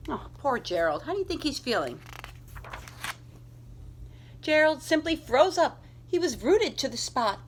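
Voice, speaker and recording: A woman librarian reading aloud to children in an expressive storytelling manner, speaking directly to young listeners. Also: high voice, unhurried, pauses often, a little hiss or hum.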